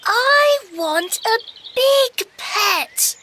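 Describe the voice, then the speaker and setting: A very high, slow-speaking little kid, insistent and whiny in a storybook tale. The delivery is emphatic and lively, with chirping birdsong and noise behind it. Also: female voice, heavily acted. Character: insistent small child who wants a big pet. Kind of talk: children's storybook dramatization.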